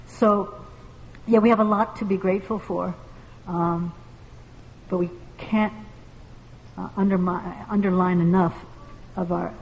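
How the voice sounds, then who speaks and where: A woman leading a devotional group discussion, speaking earnestly and haltingly with many fillers, in a large room with a group. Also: lowish voice, fairly even intonation, darkish tone. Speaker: class discussion leader. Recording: distant echoey hall, faint hiss.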